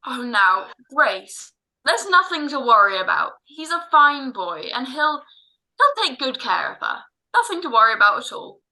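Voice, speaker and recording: A man acts out a flustered, soft-hearted father of the bride for a classic radio play. The voice is high and very sharp, blustery, tripping over repeated words. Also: very animated, normal speed, heavily acted, rough recording. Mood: anxious, gruff. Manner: blustering, hesitant with stammered repeats. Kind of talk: old-time American radio drama acting. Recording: online home recording.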